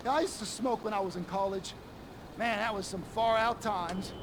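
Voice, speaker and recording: With clear engine and water noise behind, an actor voices a spaced-out middle-aged male stoner from a video game. His high voice meanders and stammers, oddly candid. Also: moderate pace, lightly acted. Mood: dazed, wistful. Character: spaced-out, rambling middle-aged male drug-addled sidekick. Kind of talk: video game character acting.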